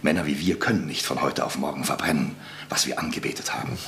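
Humble earnest lawyer in a radio play, super deep, bright edge, half whispering. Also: male voice, slight background noise. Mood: earnest, pleading. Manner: solemn, hushed, halting. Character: earnest middle-aged lawyer, humble, loyal monarchist. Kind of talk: radio drama acting.

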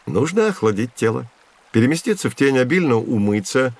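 A man playing a knowledgeable, calm guide who instructs children in a scripted educational audio drama. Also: medium pitch, brisk, no echo. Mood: calm, explaining. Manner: didactic, instructive.

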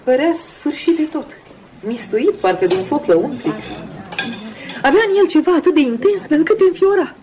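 A woman's voice: high-pitched, animated, mellow, poor audio. An actress playing a chatty, nosy, bored society lady in a radio drama, with a theatrical, insistent manner. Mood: avidly curious. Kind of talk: Romanian radio theatre acting.

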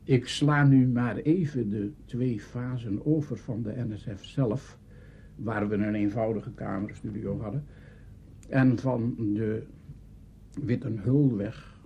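An older man recalls the past as an interviewee, telling it deliberately and with emphasis. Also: gravelly, warm tone. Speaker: interviewee, radio pioneer. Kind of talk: spoken reminiscence. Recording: muffled archive tape with noise and rumble.

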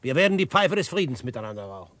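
Confident frontier adventurer, measured and authoritative. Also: male voice, medium pitch, sing-song, a bit bright, no room sound. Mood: commanding, urgent. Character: confident male frontier adventurer, calm, commanding, narrating his adventures. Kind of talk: German radio drama narration and dialogue.

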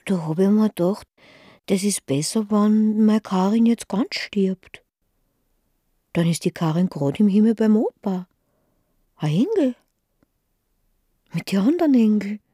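Devout confused austrian mom in a radio drama, really slow, halting n childlike, soft dark tone. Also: female voice, mid-range voice, expressive, breathy edge, no room sound, clean studio sound. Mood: entranced, reverent. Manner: halting, repetitive, childlike simple wording. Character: devout, mentally confused mother confessing to killing her daughter. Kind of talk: naturalistic radio drama monologue.

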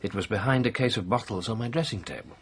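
A man's voice: on the low side, fast talker, breathy edge. An actor playing a confident French doctor in a radio drama, formal, exact and emphatic in his speech. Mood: precise, emphatic. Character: precise, confident French male doctor giving evidence.

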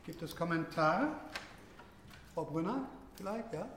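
An actor playing a wordy, probing seminar lecturer in a radio drama, with an academic, halting manner. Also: male voice, a little high, animated, a bit bright. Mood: analytical, measured. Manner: academic, wordy, hesitant pauses. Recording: echoey room with faint noise.

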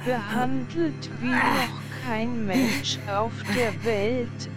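A slow, reproachful, prim mother. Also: a little high. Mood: plaintive, reproachful. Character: reproachful, prim, easily scandalised mother of grown son. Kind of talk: radio drama acting. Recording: music behind the voice.

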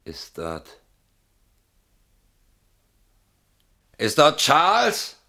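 An actor playing an agitated, guilt-ridden man in a radio drama, speaking in a halting, self-justifying way that swings between muted and outspoken. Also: fairly high pitch, huge pitch swings, bright, forceful tone, full character voice. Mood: nervous, hesitant. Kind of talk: German radio drama acting.

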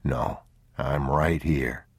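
A man doing a hushed, weary father. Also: very deep voice, gravelly, husky, no echo, studio quality, exaggerated voice. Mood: soothing, hushed. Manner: hushed, slow and soothing, near a whisper. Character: weary, frightened father soothing his son.

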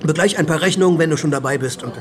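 An actor playing a brisk, scheming male friend who urges quickly. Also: a little high, crowd noise, lightly acted. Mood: urging.

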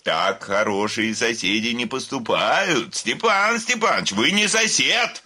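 A man's voice: mid-range voice, lively intonation, measured pace, sharp tone, full character voice. An actor playing a touchy, hypochondriac young landowner in a radio farce, breathless, stammering and indignant, his voice cracking into squeals. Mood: outraged. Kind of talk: theatrical radio comedy acting. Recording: radio play with clear music behind.

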